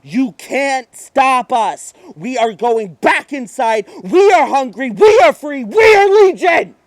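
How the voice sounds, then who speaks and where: A hungry legion of demons acted by a man speaks as one in a horror tale. The voice is high and menacing, drawing out each short declaration with rising force. The recording is dry and close. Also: expressive, no echo, exaggerated voice. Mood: menacing triumph. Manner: slow, emphatic, rising declamation.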